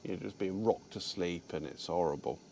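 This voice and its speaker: A man's voice: low-pitched, normal speed, a little mellow. A man chatting casually about his day while parked in his truck cab, rambling with fillers and restarts. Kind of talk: casual vlog chat.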